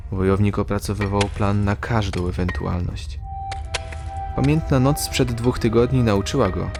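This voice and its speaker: A man's voice: fairly low pitch, vocal fry. A man narrating a scripted fantasy audio drama as a storyteller. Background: loud music.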